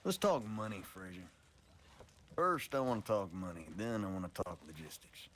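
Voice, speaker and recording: A sardonic outlaw for hire played by a man in a western game roleplay, blunt and wry. The voice sits low but moves around a lot, with faint noise behind it. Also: very animated, moderate pace, fairly bright tone. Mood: blunt, bargaining. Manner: wry, blunt, colloquial, swears. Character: blunt, sardonic Old West outlaw hired for dirty jobs. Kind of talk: improvised western roleplay in character.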